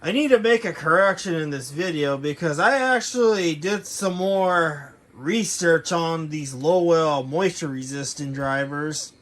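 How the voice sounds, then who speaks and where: Higher-voiced man rambling through a gear overview. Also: measured pace, hardly any pauses, fairly bright tone. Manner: rambling, informal, self-correcting. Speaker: hobbyist reviewer showing audio gear. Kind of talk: casual unscripted product overview.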